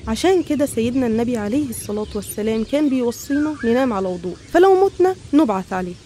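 A woman's voice: on the high side, lively intonation, very fast, warm tone, slight background noise. A woman with an Egyptian accent narrating scripted religious stories in an explanatory, didactic manner.

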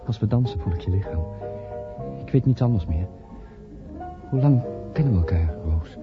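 An actor playing an enraptured, wondering suitor in a romantic radio play. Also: male voice, sits low, lively intonation, normal speed. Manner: tender, wondering. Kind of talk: romantic radio drama acting. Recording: dull old radio recording, music behind. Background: loud music.

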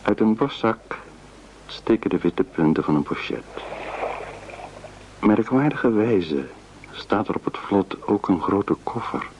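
Deep slow guy narrating old radio play, measured, muffled with a bit of noise. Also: animated, darkish tone. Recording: dull, noisy old radio recording.

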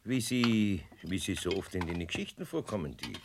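A man's voice: deep voice, expressive, moderate pace. A man playing a kindly, long-suffering older craftsman with a Bavarian accent, grumbling patiently and mildly scolding in a children's radio play. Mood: coaxing, grumbling. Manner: homely, repetitive, mildly scolding. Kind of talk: 1970s German children's radio play acting. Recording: clearly noisy old radio-play recording.

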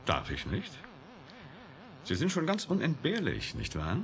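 An actor playing a provocative, sardonic scientist in a radio drama, teasing and needling with a defiant, flirtatious streak. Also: male voice, medium pitch, wildly swooping pitch, a little slow, vocal fry, exaggerated voice. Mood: teasing, probing. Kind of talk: 1980s German radio drama acting.

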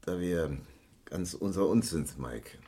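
A man's voice: deep voice, lively intonation, takes it slowly, mellow, husky, exaggerated voice. An actor playing a cagey, mercenary, stubborn man in a German crime radio play, sly and guarded in manner. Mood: sly, confiding. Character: cagey, mercenary male witness, cynical and stubborn. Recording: vintage radio play recording.

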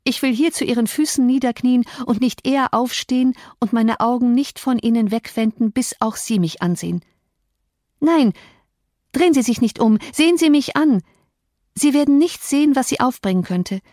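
A woman's voice: on the high side, warm tone, no echo, clean studio sound, pitched above the performer's own voice, natural voice. A woman reading an audiobook voices a desperate young woman who talks in an earnest, pleading way. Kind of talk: audiobook character voice.

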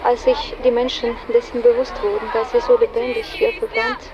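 High, even-toned woman guide amid crowd noise. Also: normal speed. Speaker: memorial guide. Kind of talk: recited guided-tour account. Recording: muffled, loud crowd and traffic behind.

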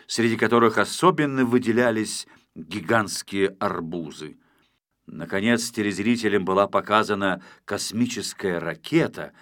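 A man narrating a children's audiobook with expressive, scripted storytelling. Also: fairly low pitch, no room sound, studio quality.

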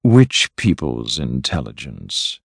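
Storybook talking cat, played by a male reader: male, aloof, insolent, calm and icy, low register, dry close sound. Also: unhurried, dry recording, exaggerated voice. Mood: cold. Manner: calm, cold, disdainful. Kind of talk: storybook character voice.

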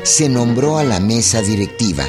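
A man's voice: medium pitch, animated, moderate pace. A man with a Mexican accent narrating a scripted historical radio drama. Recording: loud music behind.

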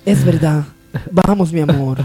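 Mujer interpretando a una novia joven, mimosa y mandona, voz suave. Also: female voice, sits low, measured pace, dark tone, breathy edge, soft background music. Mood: doting. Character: doting, bossy young girlfriend and sister. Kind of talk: amateur radio soap opera acting.

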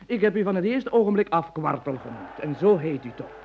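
A man's voice: a little high, very animated, brisk, gravelly, darkish tone, echoey room, a little hiss or hum. An actor playing a mocking, playful man who trades absurd banter in a radio play.

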